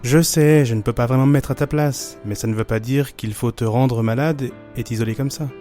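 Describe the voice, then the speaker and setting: An actor playing a caring, insistent high-school boy, a loyal friend, in an amateur audio drama. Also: fairly even intonation, fairly quick, mellow, faint music behind, lightly acted. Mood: gently consoling.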